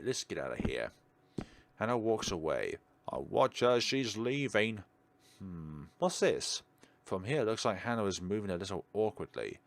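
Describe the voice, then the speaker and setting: Low-voiced man, animated game commentary. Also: no echo. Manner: expressive dramatic reading with chatty asides. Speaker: gaming video host. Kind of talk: let's play commentary, reading visual novel text aloud. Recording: music behind the voice. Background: game music.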